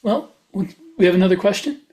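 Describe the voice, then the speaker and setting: Man with a higher-pitched conversational voice. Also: animated, brisk, a little mellow. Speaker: podcast co-host and science fiction writer. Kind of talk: casual panel chat.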